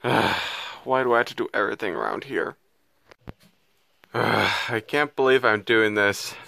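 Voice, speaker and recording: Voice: mid-range voice, a little slow, vocal fry, pushed and bright, no echo. A performer voicing a skeptical but kind cartoon friend who stammers and gets flustered. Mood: reluctant.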